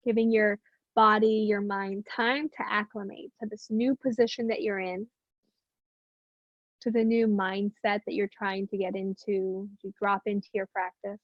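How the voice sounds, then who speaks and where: A woman teaching meditation, speaking gently and unhurriedly with long pauses in soothing guided instruction. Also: mid-range voice, level tone, no room sound, lo-fi sound.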